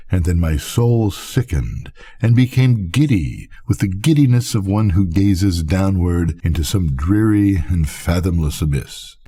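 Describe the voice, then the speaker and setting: An older man with a husky voice reads a gothic literary story in a solemn, measured manner. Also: sits low, mellow. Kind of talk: scripted literary narration, gothic bedtime story reading.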